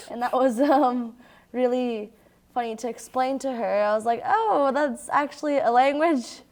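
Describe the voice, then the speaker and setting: High-voiced young Brazilian girl chatting casually. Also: unhurried, darkish tone. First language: Portuguese. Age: child or young teen. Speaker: young newcomer sharing experiences. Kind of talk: casual chat.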